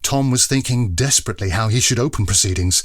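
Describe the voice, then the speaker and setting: A man's voice: on the low side, quick pace, no room sound, studio quality. A man narrating a classic period story in a scripted, dramatic style.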